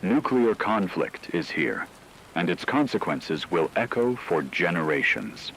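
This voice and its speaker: Man playing a low, grave wartime radio newsreader. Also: expressive, a bit bright, a little hiss or hum, low-quality recording. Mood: grave, urgent. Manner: solemn, measured, ominous. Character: grave male radio newsreader in a world war. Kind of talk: scripted breaking news bulletin.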